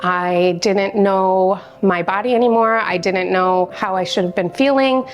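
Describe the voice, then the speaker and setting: A mother speaking warmly and sincerely in a testimonial interview. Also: medium pitch, a little slow, highs cut off. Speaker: mother, YMCA member. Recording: music bed under voice.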